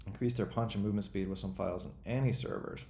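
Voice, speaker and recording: A man in his forties chatting casually as a host, rambling and thinking out loud in a self-deprecating way. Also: on the low side, fairly even intonation, quick pace, echoey room, slight background noise. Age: 40s. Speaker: host and game developer answering chat questions. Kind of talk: casual freeform chat.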